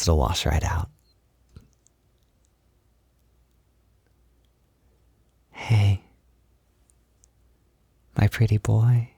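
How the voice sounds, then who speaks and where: A man playing a gentle, affectionate boyfriend in an intimate roleplay audio, speaking softly and soothingly, with unhurried reassurance. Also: on the low side, creaky, slightly rough, dry recording, heavily acted. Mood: tender, soothing. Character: gentle, affectionate boyfriend comforting his insecure partner. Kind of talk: intimate roleplay audio, soft-spoken ASMR.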